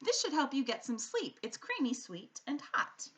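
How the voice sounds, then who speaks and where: Woman as a high, sweet little storybook princess. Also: sing-song, moderate pace, fairly bright tone. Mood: eager kindness. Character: brave, kind little princess, a young girl. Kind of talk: storybook character voice in a read-aloud.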